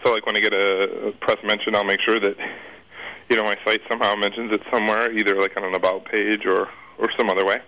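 A man's voice: lowish voice, flat delivery, fast talker, bright, forceful tone, low-quality recording. A man giving casual interview answers as a guest, informal and rambling in the way he talks.

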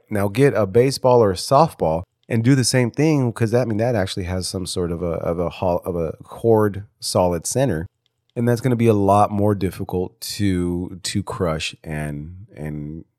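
A man's voice: fairly low pitch, dark tone, dry recording, studio quality. Stuffy-nosed man rambling on a podcast. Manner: rambling with many restarts and casual swearing. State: congested, stuffy nose. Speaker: podcast host. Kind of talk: casual solo podcast monologue.

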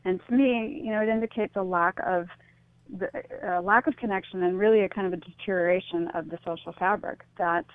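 A middle-aged American woman speaking as a radio interview guest, reflective and halting as she restarts her thoughts. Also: on the low side, fairly even intonation, no echo. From United States. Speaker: interview guest, suicide-loss advocate. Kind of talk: earnest radio interview conversation. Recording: muffled phone-like radio line.

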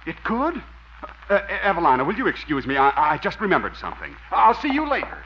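A man's voice: high-pitched, huge pitch swings, quick pace, full character voice. A man playing a prim, snippy, gullible station manager in a muffled 1950s radio sitcom. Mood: flustered. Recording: muffled old radio recording.

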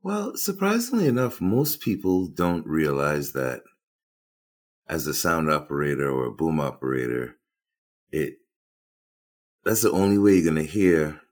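A man, speaking in an extremely deep voice. He answers as an interview guest, thoughtful and a little halting, restarting his sentences with repeated words. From Trinidad. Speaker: boom operator and filmmaker.

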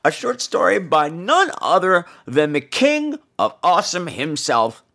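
A man's voice: high-pitched, big pitch swings, unhurried, sharp tone. A man hosting a podcast in casual chat, with a campy, theatrical and cheeky delivery. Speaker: podcast host.

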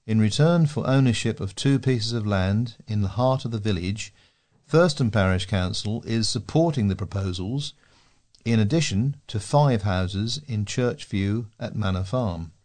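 A man with a fairly low voice reads the news from a script. He delivers prepared local reports in the role of a volunteer newsreader. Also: measured pace, a little mellow.

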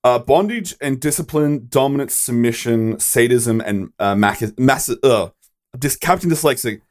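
Deep-voiced man rambling in casual chat. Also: animated, normal speed, a little mellow, dry recording, studio quality. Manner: rambling, stumbles over words, swears.

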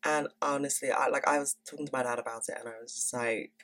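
A woman's voice: rumbling low, fairly even intonation, quick pace, a bit bright. A young woman in her twenties hosting a podcast in casual, candid chat, swearing freely and sounding stuffy from a cold. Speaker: podcast host.

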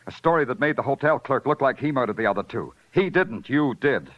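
An American actor playing a world-weary, hard-boiled New York detective in a 1950s radio crime drama, terse and clipped. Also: male voice, mid-range voice, expressive, brisk, close to an everyday voice. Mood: accusing, hard. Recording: dull vintage radio recording, music behind.

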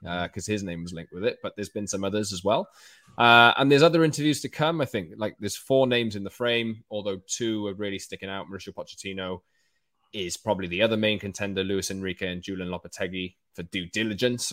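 A Welsh man leads a casual football podcast with an energetic, chatty delivery. His voice sits on the low side and the close mic sounds dry, with no room around it. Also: dry recording. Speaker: podcast host.

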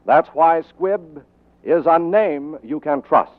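A man's voice: a little high, animated, slow pace. Formal 1940s radio announcer, swooping male voice. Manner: formal, polished. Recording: tinny 1940s radio broadcast, faint music.

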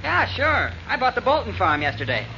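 A chipper young ex-serviceman in an old radio drama. His voice is high for a man. The recording is muffled and clearly noisy. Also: huge pitch swings, normal speed, heavily acted. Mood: cheerful. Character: cheerful, eager young ex-soldier, new farm owner. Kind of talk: 1940s radio acting.

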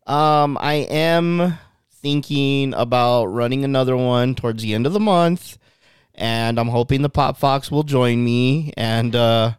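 A man's voice: medium pitch, unhurried, clear, resonant tone, no echo, studio quality. A man co-hosting a podcast in casual chat, laid-back, swearing freely and laughing often. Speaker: podcast co-host.

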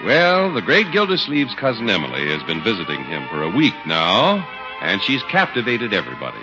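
A man's voice: on the low side, wildly swooping pitch, a little fast, loud music behind the voice. A man announcing in scripted 1950s radio style with a polished, emphatic sales delivery. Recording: muffled 1950s radio recording.

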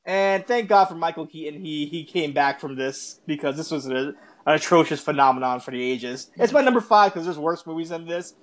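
A man hosting a podcast, chatting casually in an animated, slangy way with plenty of swearing. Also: fairly high pitch, fairly quick, few pauses. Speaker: podcast host. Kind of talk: casual ranting movie chat.

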